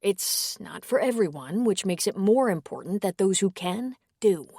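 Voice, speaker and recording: A woman voicing a gentle middle-aged man, an earnest former priest, in a warm, softly persuasive read. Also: mid-range voice, expressive, moderate pace, husky, no echo, studio quality. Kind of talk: audiobook character reading.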